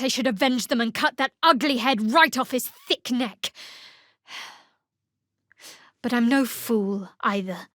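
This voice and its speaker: An actress playing a guarded, sardonic young cleric of a dark goddess in a fantasy game, with a dry, wry delivery. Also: female voice, on the high side, no echo, close to an everyday voice.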